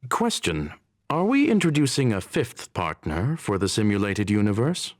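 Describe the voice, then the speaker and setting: A man's voice: lowish voice, very animated, moderate pace. A man voicing a logical, precise robotic genius in a video game, formal and clipped. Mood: logical, matter-of-fact.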